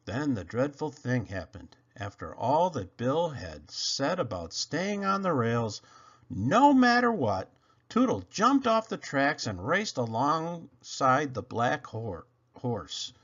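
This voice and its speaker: A man's voice: medium pitch, very animated, slow, a bit bright, dull audio. A man reading a children's storybook aloud as narrator, emphatic and drawing out key words. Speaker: storybook reader.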